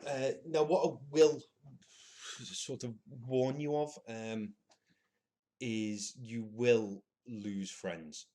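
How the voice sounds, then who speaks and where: A man, possibly, hosting a solo podcast and thinking out loud in a halting, earnest way, with frequent restarts and filler words. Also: medium pitch. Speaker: podcast host. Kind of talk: solo opinion monologue.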